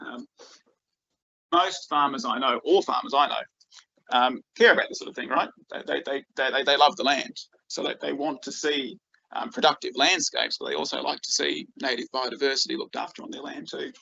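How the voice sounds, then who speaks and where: A man's voice: mid-range voice, moderate pace, creaky, bright, forceful tone, dry recording, dull audio. A man with an Australian accent giving a conference talk as a researcher, enthusiastic and full of ums and repeated words. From Australia, rural northeast Victoria.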